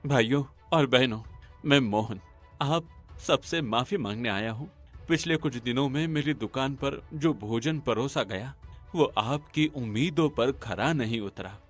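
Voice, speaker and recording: A man's voice: mid-range voice, measured pace, faint music behind, lightly acted. A man voicing an honest, humble village food-stall owner making earnest speeches in a cartoon story. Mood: apologetic. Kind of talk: Hindi cartoon story acting.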